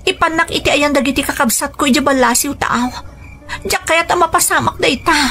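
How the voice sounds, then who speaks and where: A pleading young lover. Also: female voice, high-pitched, a little fast, sharp tone, faint music behind. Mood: urgent. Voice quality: pleading, earnest. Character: young woman in love, pleading with her father. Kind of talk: radio drama acting.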